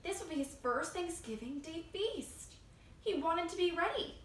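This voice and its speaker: A woman reading a picture book aloud to children as a library storytime reader, expressive and engaging them directly. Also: extremely high pitch, normal speed. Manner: expressive, asking young listeners questions. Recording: roomy echo, faint background noise.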